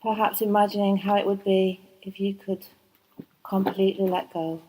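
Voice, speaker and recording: A woman teaching yoga nidra, guiding a relaxation meditation in a soft, soothing voice, slow and unhurried with long pauses. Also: mid-range voice, flat delivery, husky, low-quality recording. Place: yoga training course.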